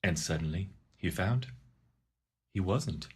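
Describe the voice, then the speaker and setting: Man reading verse with theatrical, swooping pitch. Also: expressive, slow, very noisy. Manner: dramatic, swooping delivery. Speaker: narrator of a dramatic poem reading. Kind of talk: theatrical storybook verse narration.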